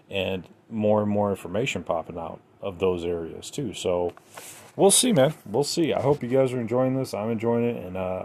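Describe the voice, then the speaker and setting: Low, mellow-voiced male podcast host. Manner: conversational, halting, addresses listeners directly. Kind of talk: casual solo podcast commentary.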